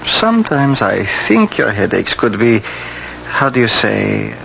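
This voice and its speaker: Casting: foreign eye doctor, gravelly, formal, friendly, lively intonation; muffled old radio drama. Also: male voice, fairly low pitch, unhurried, background noise, exaggerated voice. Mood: courteous, measured. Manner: precise, slightly formal phrasing. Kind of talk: 1980 radio drama acting.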